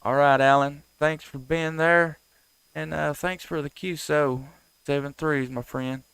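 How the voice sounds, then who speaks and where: A man's voice: on the high side, steady tone, unhurried, vocal fry, fairly bright tone, clear, resonant tone, no room sound, poor audio. A man, hosting an amateur radio live stream with casual, folksy, friendly chat and station calls. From United States.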